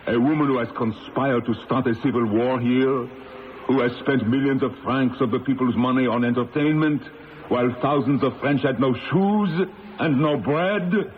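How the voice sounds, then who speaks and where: An actor playing a stern revolutionary tribunal judge, formal and declamatory, in an old-time radio drama. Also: male voice, mid-range voice, moderate pace, close to an everyday voice. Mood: accusing. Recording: noisy, very narrow-band old radio.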